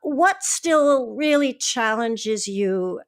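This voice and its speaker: A woman's voice: a little high, lively intonation, drawn-out words, clear, resonant tone. A woman podcast host, speaking in a presenter's style as she interviews guests and reads announcements. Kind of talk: hosting an interview and reading scripted credits.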